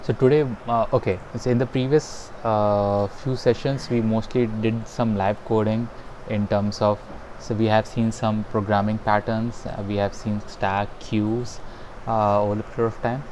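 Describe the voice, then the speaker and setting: Man, lowish voice, online instructor, hesitant and filler-heavy; faint noise on the call line. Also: flat delivery, darkish tone. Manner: halting and hesitant, many fillers and restarts. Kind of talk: live online programming lesson. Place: home in a rainy town.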